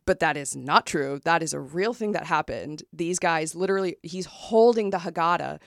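A woman co-hosts a casual book-club podcast, animated as she retells a true story, full of "um"s, "like"s and restarts. Her voice sits on the lower side, and the recording is dry and close. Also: moderate pace, vocal fry, dry recording. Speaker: podcast co-host.

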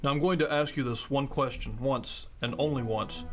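A man's voice: medium pitch, normal speed, music in the background, natural voice. A man playing a brusque, commanding corporate boss in an audio drama. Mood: stern. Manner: curt, commanding. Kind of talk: scripted audio drama acting.

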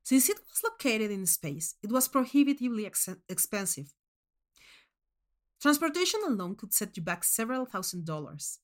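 A woman narrating a first-person short story in an expressive, measured storytelling manner. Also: fairly high pitch.

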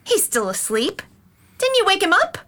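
Caring middle-aged mother, high pitch, bright tone, anxious, earnest, audio drama. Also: huge pitch swings, moderate pace, heavily acted. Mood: caring, coaxing. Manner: earnest, pleading.

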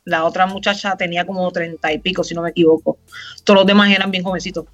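Puerto rican woman in her 30s, bright voice, chatty, bit muffled w faint music. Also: fairly low pitch, fast talker. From Puerto Rico. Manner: chatty and relaxed, rambling with fillers. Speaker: guest. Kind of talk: casual podcast interview.

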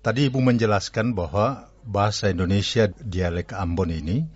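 A man's voice: fairly low pitch, normal speed, husky. A man hosting an educational radio interview, asking polite questions and giving brief acknowledgements. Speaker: radio host. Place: radio studio. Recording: dull lo-fi radio studio.

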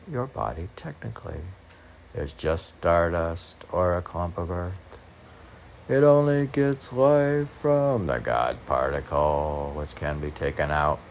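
A man with a very deep, gravelly voice reads and muses on his own poetry. He speaks slowly, in a rambling, self-questioning way. There is some background noise behind him. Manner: musing, talks to himself in questions. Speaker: poet reading own poems.

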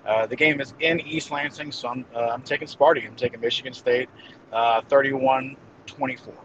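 A man with a medium-pitched voice talks through football predictions as a guest analyst. He is casual and hesitant, working out his thoughts aloud. The sound is slightly muffled and lo-fi, with faint noise in the background. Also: fairly even intonation, normal speed. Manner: hesitant, thinking aloud as he goes. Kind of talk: casual game-prediction commentary.

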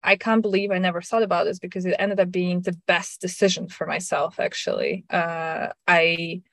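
This is a woman from Russia, speaking in a slightly low voice. She chats in a reflective, thoughtful way, often restarting her sentences. The sound is dry and close. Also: fairly even intonation, normal speed, no room sound. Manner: reflective, with frequent restarts and soft laughs. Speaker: guest sharing her story. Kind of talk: casual chat.

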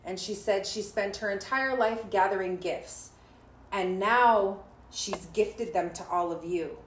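A woman's voice: medium pitch, measured pace, roomy sound, slight background noise, rough recording. A woman hosting a conversation, telling a reflective story and thanking her guests. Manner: storytelling, reflective, laughs often. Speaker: host. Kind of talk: warm conversational podcast chat.

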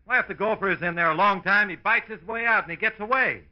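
Old radio comedy: male actor as breezy, practical American friend, quick and animated, muffled sound. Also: sits high, lightly acted. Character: breezy, practical, matter-of-fact male friend out Christmas shopping. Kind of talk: 1940s-50s American radio comedy acting.

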